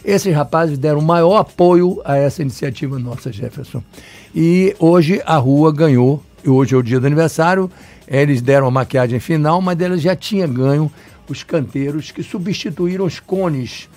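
A man's voice: a little high, normal speed, a little mellow. A man from Salvador with a Bahian accent, an urban planner giving long, emphatic, digressive answers in an interview. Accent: Bahian Brazilian Portuguese. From Salvador, Bahia, Brazil. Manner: talkative, emphatic, digressive with restarts.

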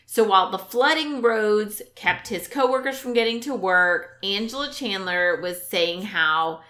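Woman, bright voice, podcast co-host, lively storyteller, casual chat. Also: medium pitch, unhurried. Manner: lively storyteller, builds suspense with questions.

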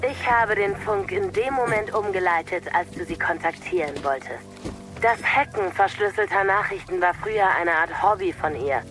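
A woman's voice: medium pitch, moderate pace, fairly bright tone, natural voice, rough recording. Actress doing evil imperial inquisitor lady, cold smug taunting, radio play w music behind. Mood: gloating. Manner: taunting, condescending. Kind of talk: German audio drama villain acting.

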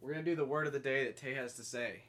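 A man co-hosting a podcast in casual banter, joking, giggly and quick to argue back. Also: mid-range voice, very noisy. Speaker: podcast co-host.

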